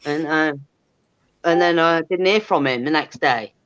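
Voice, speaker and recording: A woman's voice: sits low, a little slow, fairly bright tone, highs cut off. A woman, chatting candidly in a blunt, sweary, emphatic way. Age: 30s. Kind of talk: candid casual chat.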